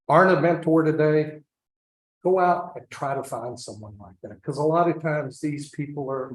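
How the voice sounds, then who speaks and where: A man thanking the crowd at an awards dinner and urging them to mentor others. He speaks in an earnest, plain way, with a voice of middle pitch. The sound rings out in a large, echoey hall over the speakers. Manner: earnest, with small fillers. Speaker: award honoree giving thanks. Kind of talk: acceptance speech.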